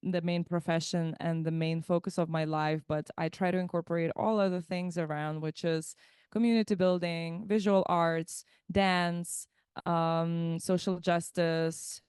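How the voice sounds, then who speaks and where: A woman's voice: lowish voice, steady tone, unhurried, a little mellow, smooth, no room sound, studio quality. A woman in her thirties from Lithuania, a musician guest chatting about her life, thoughtful and given to restarting words mid-phrase. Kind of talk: casual interview chat.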